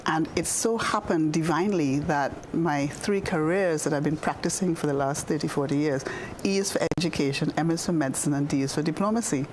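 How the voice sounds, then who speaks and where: A woman in her sixties from the Bahamas, a guest doctor telling her story in a studio interview, unhurried, with many fillers and restarts. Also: low voice, a little mellow, a little hiss or hum, poor audio. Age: 60s. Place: television studio.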